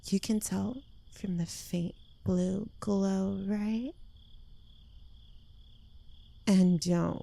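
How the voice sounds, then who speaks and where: Smug, clingy witch girlfriend played by a woman for ASMR roleplay: unhurried, teasing delivery, very dry intimate close-mic sound. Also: mid-range voice, vocal fry, dark tone, no room sound. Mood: coaxing, reassuring. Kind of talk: ASMR roleplay, soft intimate scripted acting. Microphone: close microphone.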